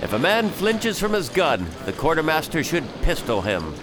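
An actor playing a commanding, calculating pirate captain, declaiming orders and speeches in a radio drama. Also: male voice, a little high, sing-song, normal speed, noisy background. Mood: stern.

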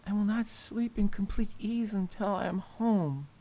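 A woman voicing a frightened, homesick young boy of noble birth in a radio drama, speaking softly. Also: medium pitch, unhurried, slight background noise, lightly acted. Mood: uneasy.

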